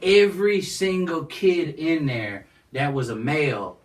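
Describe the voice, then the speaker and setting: A man's voice: sing-song, a little slow. A man, talking as a guest in a casual interview and rambling with constant "you know what I'm saying.". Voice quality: high-pitched voice. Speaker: guest, nonprofit founder. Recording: distant mic.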